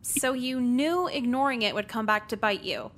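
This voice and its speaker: A woman voicing a sardonic, defiant teenage high school girl in a visual novel. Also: moderate pace, fairly bright tone, slight background noise, close to an everyday voice. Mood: flippant.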